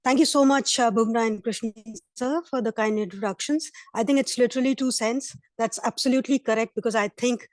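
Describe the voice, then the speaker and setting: A hoarse woman presenting at a virtual conference session, earnest, with fillers and restarts. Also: fairly high pitch, fairly quick, few pauses, darkish tone, no room sound. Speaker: invited speaker. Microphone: computer mic on video call.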